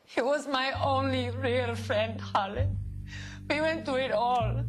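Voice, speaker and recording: An actress playing a defiant, emotional German nightclub singer in bitter grief in a video game. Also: female voice, high-pitched, slow pace, music in the background. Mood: grieving, accusing. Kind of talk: video game cutscene acting.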